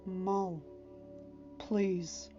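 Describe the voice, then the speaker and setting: A woman voicing a gentle but quietly firm young king in an audiobook, soft, patient and coaxing. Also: mid-range voice, flat delivery, drawn-out words. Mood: gently concerned. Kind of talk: acted audiobook dialogue. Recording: dull lo-fi home recording, music underneath.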